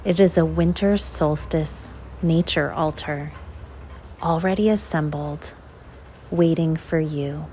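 A woman leading a guided visualization in an unhurried, measured way, leaving long pauses between short phrases. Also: deep voice, darkish tone, a little hiss or hum. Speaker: guided meditation host.

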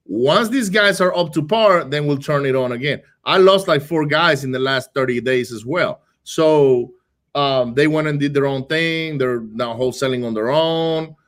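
A man from Venezuela, a business owner, talking casually in an interview, quick and animated, often restarting and repeating words. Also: a little high. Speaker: real estate wholesaling business owner.

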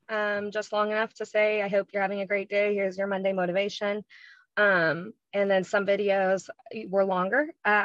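A woman's voice: mid-range voice, a bit bright, no room sound, dull audio. A woman speaking as a podcast guest, chatting casually and explaining her steps with many ums. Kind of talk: casual interview talk.

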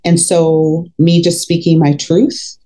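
A woman's voice: lowish voice, monotone, slow pace, dark tone. A woman in her fifties talking candidly in an interview, outspoken and emphatic. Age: 50s. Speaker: podcast guest, fitness coach.

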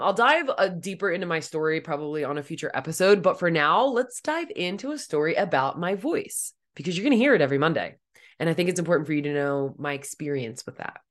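A young woman with a raspy, somewhat deep voice, hosting her own coaching podcast in a blunt, direct, casual way. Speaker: podcast host and life coach. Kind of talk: casual solo podcast monologue.

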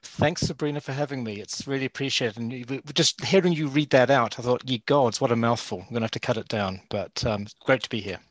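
A man with a New Zealand accent, a zoo vet talking in a relaxed interview, with many ums and uhs. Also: mid-range voice, a little fast, few pauses, rough recording. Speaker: guest, zoo veterinarian and lecturer. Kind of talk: casual interview talk.

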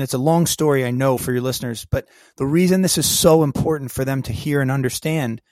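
A man telling a personal story as a podcast guest, lively and acting out the voices of people in it. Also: fairly high pitch, no room sound, studio quality. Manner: animated storyteller who acts out quoted dialogue. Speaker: guest, keynote speaker and coach.

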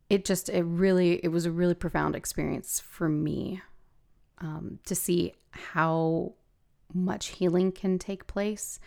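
A woman with a gravelly voice hosting a podcast, talking casually and haltingly as she thinks aloud. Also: fairly low pitch, a little mellow. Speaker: podcast host and hypnosis practitioner. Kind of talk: casual solo podcast talk.

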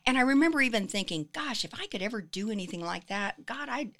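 A woman in her seventies, a guest telling her life story in a lively, upbeat casual chat. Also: fairly high pitch, quick pace. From Kansas City area, US. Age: 70s.

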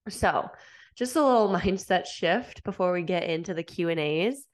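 A woman's voice: fairly low pitch, lively intonation, normal speed. A woman in her thirties hosting a casual solo podcast, stuffed up from a cold, chatty and upbeat with lots of fillers. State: congested from a cold. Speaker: podcast host.

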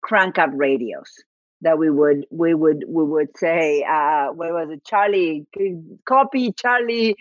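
Low-voiced Argentine woman with thick accent. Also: unhurried, dry recording, dull audio. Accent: Argentine Spanish. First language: Spanish. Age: 40s. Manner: reflective, laughs often, many restarts. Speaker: guest. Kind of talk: casual interview storytelling.

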